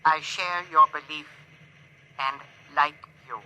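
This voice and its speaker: A stiff, formal alien officer. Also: male voice, on the high side, steady tone, sharp tone, dull audio, a little hiss or hum, full character voice. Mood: measured. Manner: stiff, formal, halting phrasing. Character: stilted polite male alien ship officer. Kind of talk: 1950s radio drama acting.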